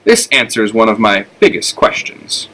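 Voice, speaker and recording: Storybook tomcat, dry and grumbly, with a bright edge man performing. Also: on the low side, big pitch swings, normal speed, low-quality recording. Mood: dry, wry. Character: dry, grumbly male cat companion. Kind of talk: read-aloud children's storybook.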